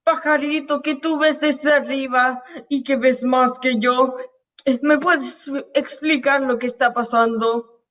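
Over a dull, distant video call, a performer plays a worried tomato in a high voice. The words come slowly, full of stubborn questions, as in an improvised radio play. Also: fairly even intonation, a bit bright, heavily acted. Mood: puzzled, worried. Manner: slow, questioning. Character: worried tomato who questions the humans and wants wind.

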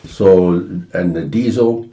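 This is a very low-voiced older Scottish man leading a casual interview in a dining room at home. He meanders through his questions, filling the gaps with long "eh" sounds. His voice is dark and mellow, with a roomy echo around it. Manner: rambling, full of drawn-out "eh" fillers. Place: dining room in a private house.

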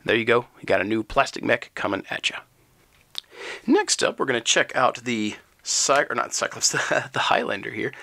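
A man's voice: medium pitch, vocal fry. A man with a stuffy, crackly voice from a cold, casually chatting through an unboxing of game miniatures and cards. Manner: relaxed, chatty, lots of ums, sound effects like "shwoop". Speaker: miniatures hobby channel host. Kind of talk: unboxing and card review.

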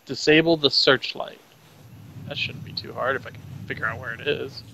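A man's voice: mid-range voice, normal speed, vocal fry, muffled recording, noisy background. A man talking casually with his partner while he plays a video game. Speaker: gaming channel player. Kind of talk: casual co-op gameplay chat. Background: game music and sound effects.

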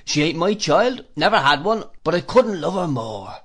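A man performs an older fisherman uncle from a classic storybook, rough and humble but bursting with love. His country is thick with emphatic, rambling exclamations. The voice rides raised and loud with excitement. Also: on the high side, moderate pace, voice pushed up, heavily acted. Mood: proud, tender. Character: jubilant, rough-hewn, big-hearted older fisherman uncle.

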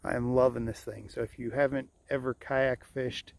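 A man's voice: mid-range voice, fairly even intonation, normal speed. A man talking casually to his camera while fishing from a kayak on a lake, rambling with fillers and restarts. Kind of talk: casual first-person vlog. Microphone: point-of-view action camera on the body. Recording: outdoor ambience with clear noise.

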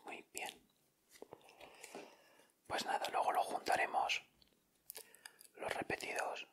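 A man with an accent from Spain whispering softly in casual ASMR commentary, murmuring short, unhurried remarks close to the microphone. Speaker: ASMR video host. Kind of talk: whispered ASMR, casual commentary while opening sticker packs.

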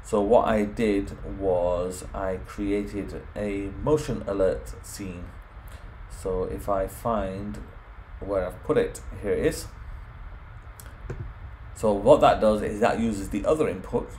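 A man's voice: fairly low pitch, takes it slowly, a little mellow, a little hiss or hum, low-quality recording. A man presenting an informal home automation tutorial, explaining things step by step. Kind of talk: informal software screen walkthrough.

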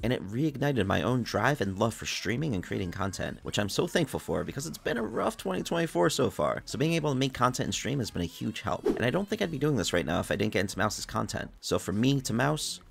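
Young Jersey guy, rapid gravelly narration, sincere fan essay tone. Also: mid-range voice, very animated. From New Jersey. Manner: earnest, heartfelt, casual cursing. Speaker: YouTuber, commentator. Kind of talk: scripted video essay narration. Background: soft background music.

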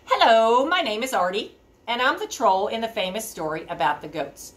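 A woman voicing a gentle, artsy male troll who tries to sound mean and chats warmly in a lively storybook voice. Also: a little high, normal speed, voice pushed up. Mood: friendly. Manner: chatty storybook voice, shouts threats half-heartedly.

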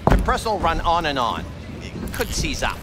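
An actor playing a grudging, needling garage mechanic in a video game, with a folksy, clipped, doubtful way of talking. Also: male voice, on the high side, sing-song, moderate pace, very noisy. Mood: skeptical.

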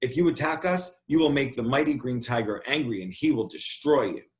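A man voicing a frightened, flattering little girl in a storybook, pleading and telling her tale dramatically. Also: medium pitch, fairly quick, pitched above the performer's own voice, natural voice. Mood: defiant. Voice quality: quivering.

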